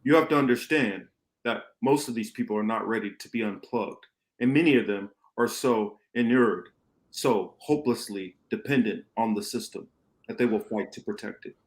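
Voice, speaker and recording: A man acting a grave, commanding rebel mentor in an amateur radio play, with deliberate, emphatic phrasing and dramatic pauses. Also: fairly low pitch, lightly acted. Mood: grave, instructive. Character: grave, commanding male rebel mentor lecturing his pupil. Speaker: podcast host reading a radio play.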